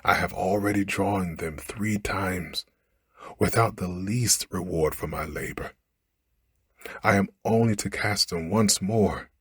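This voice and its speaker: A man's voice: deep voice, a bit bright, slightly rough, no echo, studio quality, exaggerated voice. A man voicing a poor storybook fisherman who speaks in archaic, plaintive and dramatic words. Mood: praying. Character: poor fisherman, lamenting and pleading, bold when startled. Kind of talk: storybook character read aloud.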